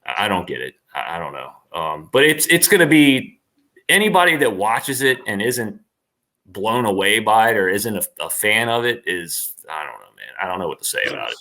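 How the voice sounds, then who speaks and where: A middle-aged man with a lowish pitch and a bright, forceful edge to his voice. He talks casually, as a guest in a livestream interview. He is emphatic and tends to repeat a point to press it home. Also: very animated, normal speed. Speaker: interview guest, actor and former professional wrestler.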